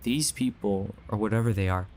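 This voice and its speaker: A man's voice: lowish voice, normal speed, darkish tone, slight background noise, natural voice. A man playing a grave, earnest believer warning others of a sinister conspiracy in an audio drama. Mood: grave, urgent. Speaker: voice actor.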